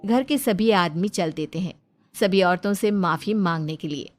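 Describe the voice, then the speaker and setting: A woman's voice: lowish voice, moderate pace, soft background music. Woman narrating a scripted story.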